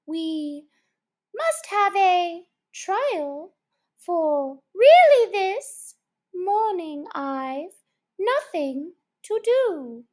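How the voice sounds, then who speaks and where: Woman as slow, high, cunning old Fury. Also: big pitch swings, darkish tone, exaggerated voice. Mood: menacing. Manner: slow, drawn-out, imperious. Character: cunning old Fury, menacing storybook cur.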